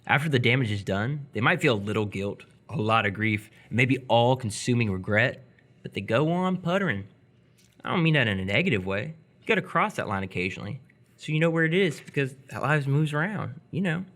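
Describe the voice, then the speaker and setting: A man's voice: medium pitch, expressive, fairly quick, fairly bright tone, lightly acted. An actor in live radio theatre playing an awkward, earnest seventeen-year-old boy, hesitant and curious. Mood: reflective.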